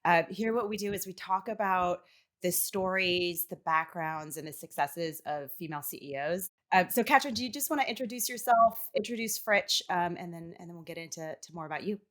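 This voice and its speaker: A woman's voice: fairly low pitch, brisk, a bit bright. A woman hosting an interview podcast, asking questions in a friendly, slightly hesitant way. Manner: friendly, hesitant, repeats words. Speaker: podcast host.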